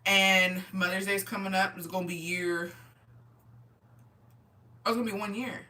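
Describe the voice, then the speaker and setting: A woman in her twenties chats casually to livestream viewers, a little away from the microphone. Her voice is bright and sharp at a middle pitch. She sounds tipsy, talking in loose slang with swearing and bits of Spanish. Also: level tone, normal speed. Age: 20s. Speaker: livestream host chatting with viewers. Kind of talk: casual livestream chat, reading and answering comments. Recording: voice distant from the microphone.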